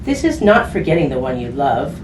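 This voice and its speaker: A woman's voice: extremely low pitch, sing-song, fairly quick. A woman reading a picture book aloud to children, addressing them directly and explaining things simply. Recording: distant mic, roomy echo, clear background noise.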